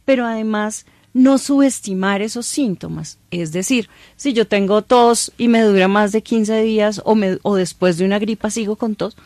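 Calm woman doctor explaining. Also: medium pitch, moderate pace, hardly any pauses, no echo. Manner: calm, patient, explains plainly with everyday examples. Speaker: doctor, guest expert. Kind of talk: explanatory interview talk.

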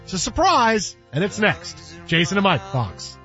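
A man's voice: high voice, big pitch swings, moderate pace. A man hosting sports talk radio, bantering and reading promotional copy. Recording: narrow-band radio sound, faint music.

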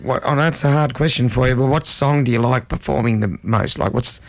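A man hosting a casual interview, chatty and quick to agree with his guest. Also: mid-range voice, vocal fry, no room sound. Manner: chatty, agreeable, many backchannels. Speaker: interviewer, host.

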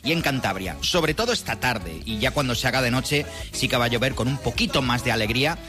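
A man's voice: medium pitch, expressive, a little fast, pushed and bright. The weatherman on a Spanish morning radio show, a man with a Spain accent chatting through the map over music. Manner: colloquial, playful diminutives. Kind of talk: informal live weather report. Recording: music bed under the voice.

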